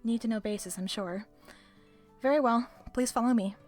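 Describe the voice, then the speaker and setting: A woman's voice: on the high side, normal speed, darkish tone, faint music behind, lightly acted. A woman playing a sly, self-assured librarian with arch, playful, theatrical phrasing in an audio roleplay. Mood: knowing, businesslike.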